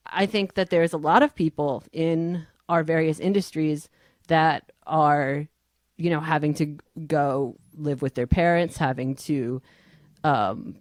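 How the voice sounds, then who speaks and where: A woman with a low-pitched voice moderates a casual panel discussion. She talks haltingly and conversationally, recorded dry with little room sound. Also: darkish tone, no echo. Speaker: podcast moderator.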